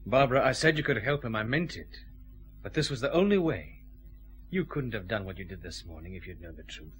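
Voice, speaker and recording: An actor playing a calm, authoritative detective chief inspector with a Scottish accent in a radio drama. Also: male voice, lowish voice, a little fast, low-quality recording. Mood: grave, gentle.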